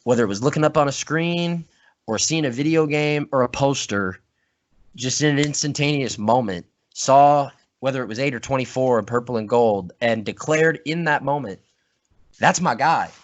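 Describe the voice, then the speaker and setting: A man's voice: mid-range voice, normal speed, muffled recording. A man hosting a casual podcast chat, speaking earnestly in long, rambling sentences. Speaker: podcast host.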